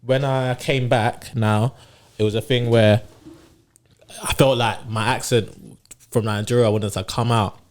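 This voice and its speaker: A man's voice: mid-range voice, steady tone, unhurried. A young man, a podcast guest chatting casually and laughing often. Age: young adult. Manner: laid-back slangy talk, laughs often. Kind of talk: casual chat.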